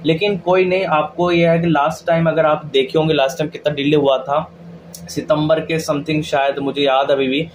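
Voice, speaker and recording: A man's voice: fairly high pitch, fairly even intonation, normal speed, slight background noise, low-quality recording. A man with an Indian accent giving an informal explainer to viewers, chatty and colloquial, often checking they follow. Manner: colloquial, addresses viewers like friends, frequent check-in tags. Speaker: video host giving scholarship updates.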